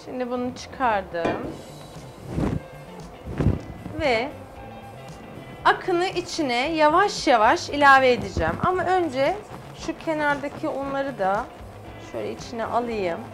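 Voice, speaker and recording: A woman's voice: high voice, big pitch swings, slow pace, long pauses, music in the background. A middle-aged woman, a guest chef on a studio cooking show, explaining each step as she works. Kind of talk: cooking demonstration. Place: TV studio kitchen set.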